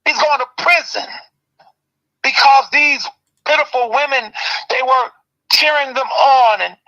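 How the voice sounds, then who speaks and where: A man with a high, bright voice rants with passion on a talk show. He swings between loud outbursts and low, pressing remarks. The audio is dull and lo-fi. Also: unhurried. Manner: impassioned, ranting, swings loud and soft. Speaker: commentary show host. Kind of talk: heated casual talk.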